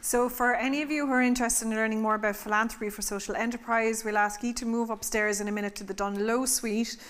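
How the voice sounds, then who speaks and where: A woman's voice: a little high, steady tone, fast talker, fairly bright tone. A woman in her forties co-hosting a conference. She reads out sessions from the stage in a playful, chatty way. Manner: playful, chatty, jokes with the room. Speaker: conference co-host. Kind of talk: hosting announcements. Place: stage of a large conference hall.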